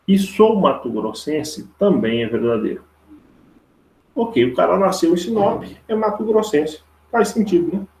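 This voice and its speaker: Brazilian guy teacher explaining stuff step by step, gravelly fry in his voice, roomy echo, keeps checking you follow. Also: fairly high pitch, darkish tone. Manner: informal, repetitive, often seeking agreement with tag questions. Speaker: math and logic teacher. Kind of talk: live online lesson, explaining step by step. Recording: roomy, echoey online class audio.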